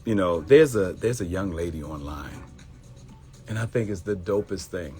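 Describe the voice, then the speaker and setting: A middle-aged man with a Baltimore accent talks to his live audience in a low, deep voice. His pitch swings widely as he tells stories and acts out quoted voices. Soft music plays faintly behind him. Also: normal speed. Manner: animated, acts out quoted voices, addresses viewers directly. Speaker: host of a live morning show. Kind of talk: casual livestream talk.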